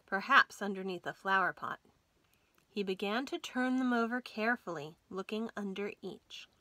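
A woman in her thirties reading a picture book aloud to children with expressive, dramatic emphasis. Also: mid-range voice, dry recording. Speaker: library storytime host. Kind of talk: children's storybook read-aloud. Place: small room lined with bookshelves, indoors.

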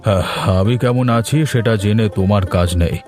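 Man playing a sulky, dark-voiced old father. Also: fairly low pitch, fairly quick, soft background music, lightly acted. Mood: resentful. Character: elderly village father, sulky and bitter. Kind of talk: cartoon family drama acting.

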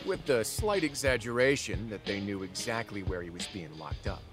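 A man's voice: fairly low pitch, lively intonation, normal speed, music in the background, lightly acted. A man playing a cocky, flirtatious, showy rebel adventurer in a video game cutscene.

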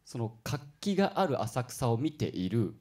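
A man chatting politely as a guest performer on a radio talk show, telling stories excitably. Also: medium pitch, moderate pace. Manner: excitable, animated storytelling with acted-out quotes. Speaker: guest performer new to voice acting.

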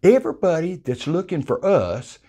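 A man's voice: on the high side, sing-song, moderate pace, dark tone, husky. An older man with a South Texas accent telling a hunting story in a folksy, colorful way, recorded on a lapel mic in a small room. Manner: folksy, colorful, acts out quoted voices. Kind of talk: casual storytelling.

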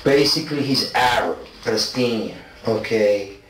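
A man's voice: medium pitch, normal speed, fairly bright tone. A man in his forties from South Africa and Argentina answers in a police interrogation, insistently, often checking with "okay?". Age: 40s. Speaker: suspect being interrogated. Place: small bare indoor interview room. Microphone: distant fixed room camera microphone. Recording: roomy, distant, noisy surveillance recording.

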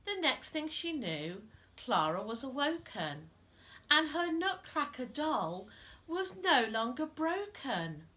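A woman's voice: high-pitched, sing-song, takes it slowly, pushed and bright. A woman in her thirties reading a rhyming storybook to children in a living room.

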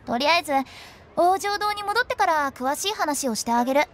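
A woman's voice: very high-pitched, expressive, fairly quick, fairly bright tone. A woman voicing a cheeky teenage girl who runs a funeral parlor in an anime game, teasing and theatrical with a sweet salesy patter. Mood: animated, explaining. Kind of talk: Japanese anime game voice acting. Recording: music under the voice. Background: game music.

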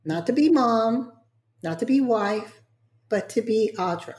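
A woman interviewing on a podcast in an affirming, deliberate way, stacking words in lists for emphasis, with an echoey sound. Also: on the high side, expressive, darkish tone. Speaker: guest interviewer.

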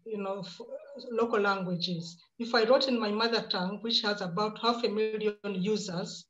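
Ugandan woman 50s on a video call, thoughtful and measured, repeats herself a bit, dull muffled sound. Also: medium pitch, fairly even intonation, fairly bright tone. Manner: measured, reflective, repeats words while thinking. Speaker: panelist writer. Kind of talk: conference panel answer. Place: home room with bookshelves. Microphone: laptop camera on a video call.

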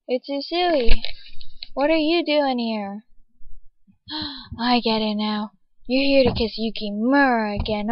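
Casting: woman as flustered, indignant anime teen girl, high pitch, dull recording. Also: no room sound. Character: feisty, flustered teenage anime maid girl.

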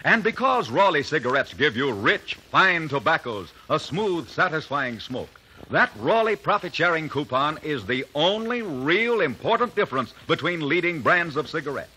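Old time radio ad guy, highish animated voice, bit raspy, really emphatic. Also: moderate pace, slight background noise, poor audio. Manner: emphatic, declarative. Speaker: commercial announcer. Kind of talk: 1950s radio advertising pitch. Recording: old 1950 radio broadcast.

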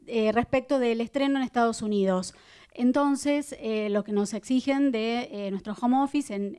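A woman's voice: a little high, darkish tone, smooth. A woman, a film distribution coordinator explaining press rules politely, with many fillers, into a handheld mic. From Argentina. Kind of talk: press conference announcements. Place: cinema stage at a press conference table.

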